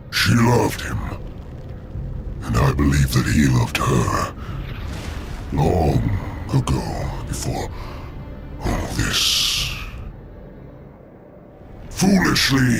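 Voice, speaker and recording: A gravelly fallen angel lord who speaks very slowly and lets his pitch roam wide. He tempts in hushed whispers, then rises to booming shouts, with music playing under him. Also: exaggerated voice. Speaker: game character. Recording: game cutscene with music underneath.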